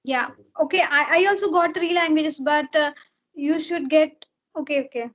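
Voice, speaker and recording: Woman, high pitch, Telugu Indian accent, casual chat, halting, repeated words, video call. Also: fairly bright tone. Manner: halting, repeats words while searching for phrasing. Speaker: talk show host in conversation.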